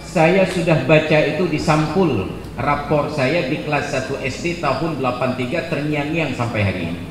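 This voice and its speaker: A man in his forties giving a jokey, animated sermon at a gathering, building to loud emphatic peaks. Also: on the high side, moderate pace. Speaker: preacher. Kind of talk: humorous sermon at a religious gathering. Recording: echoey hall with background noise.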